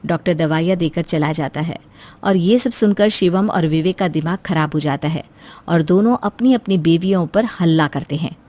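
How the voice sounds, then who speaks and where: A woman's voice: on the low side, normal speed, dark tone. A woman storyteller reading a scripted moral story as narration.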